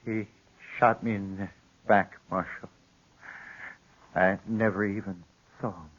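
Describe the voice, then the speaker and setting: An actor in a 1950s radio western playing a wounded lawyer, speaking weakly and haltingly in a breathy voice. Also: male voice, fairly low pitch, fairly even intonation, fairly bright tone. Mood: weak, accusing. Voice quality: husky, breathy. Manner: halting, feeble. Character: wounded, weak frontier lawyer telling his tale. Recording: dull old radio recording.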